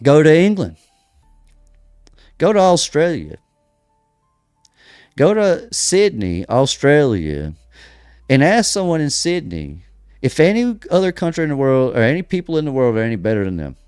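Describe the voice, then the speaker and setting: A man's voice: a little high, sing-song, a little mellow, smooth, no room sound, clean studio sound, faint music behind. Emphatic American man preaching rhetorically. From United States. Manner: emphatic, rhetorical questions, pauses and restarts. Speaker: podcast host. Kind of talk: preaching-style religious commentary.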